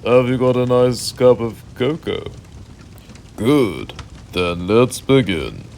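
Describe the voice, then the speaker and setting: A man's voice: mid-range voice, lively intonation, slow pace, darkish tone, a little hiss or hum. A British man narrating a mock bedtime story in an avuncular, mock-cosy way.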